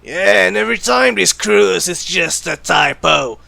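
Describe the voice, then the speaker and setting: Casting: male actor as sardonic secret agent, high-pitched for a man, heavy vocal fry, flippant and teasing, audio roleplay. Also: expressive, moderate pace, bright, forceful tone, no echo, studio quality, full character voice. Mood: brash, sarcastic. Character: callous, sarcastic male secret agent who jokes about killing. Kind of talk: ASMR roleplay acting.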